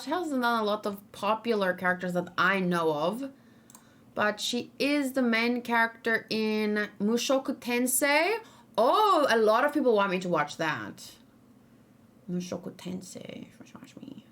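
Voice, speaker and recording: Chatty young woman streamer, slightly high. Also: lively intonation, a little slow, fairly bright tone. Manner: chatty, rambling, frequent "um" and "like", gasps with delight. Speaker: streamer reacting to game character. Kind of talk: casual live-stream chat, reading chat aloud.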